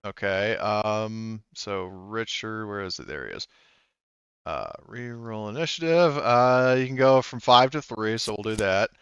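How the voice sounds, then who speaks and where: A man working as game master in a tabletop role-playing game, casually narrating and thinking aloud haltingly through the rules. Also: on the low side, expressive, a bit bright, smooth, dry recording, lo-fi sound.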